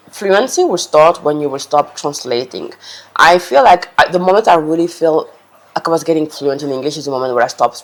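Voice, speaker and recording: Chatty woman vlogger. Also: a bass voice. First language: French. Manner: chatty and earnest, loose non-native phrasing. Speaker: language-learning vlogger. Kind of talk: casual advice talk to camera.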